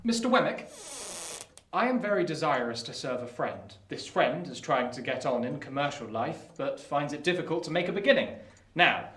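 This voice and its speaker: An actor playing an earnest, polite young English gentleman in an audio drama, speaking formally and deferentially. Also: a little high, lively intonation, moderate pace, reverberant, slight background noise.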